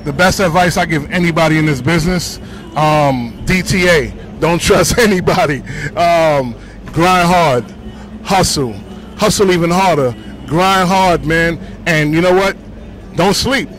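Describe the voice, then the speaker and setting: A man with a Bronx New York accent, a DJ talking casually in slang on a city street, full of shout-outs and "you know what I'm saying". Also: fairly high pitch, measured pace, slight background noise. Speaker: DJ interviewed on the street.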